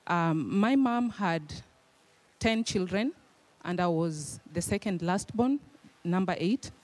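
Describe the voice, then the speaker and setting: A woman's voice: fairly low pitch, lively intonation, takes it slowly. Young Kenyan woman sharing her story. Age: young adult. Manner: modest, hesitant, with soft fillers. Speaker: guest speaker. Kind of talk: personal testimony. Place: church hall.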